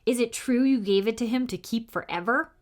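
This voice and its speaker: A woman's voice: on the high side, a little fast, voice pushed up, close to an everyday voice. A woman voicing a curious, chatty young schoolboy in a storybook reading, asking things in a direct way. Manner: inquisitive, direct.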